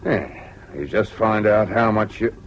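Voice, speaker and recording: A man's voice: on the low side, expressive, measured pace, dull audio. An actor playing an easygoing, deferential country horseman in a radio drama. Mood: friendly, deferential. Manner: easygoing, respectful. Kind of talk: British radio drama acting. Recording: faintly hissy old radio recording.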